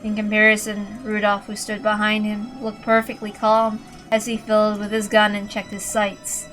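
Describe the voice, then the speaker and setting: A woman's voice: medium pitch, monotone, normal speed, a bit bright, rough recording. A woman reading a visual novel aloud as narrator, in a dramatic storytelling style. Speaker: narrator and reader. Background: faint background music.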